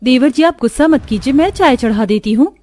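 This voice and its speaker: A woman's voice: high-pitched, lively intonation, brisk, darkish tone, a little hiss or hum. A woman voicing a resourceful, dutiful young village daughter-in-law in a cartoon story. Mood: placating.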